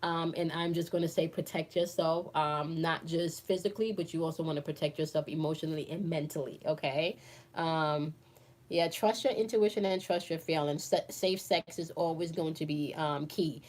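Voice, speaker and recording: A woman's voice: deep voice, steady tone, moderate pace, a little mellow. A woman in her forties hosting a casual live chat, reading viewer comments aloud and answering with playful, laughing banter. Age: 40s. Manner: chatty and playful, laughs often, addresses viewers as y'all.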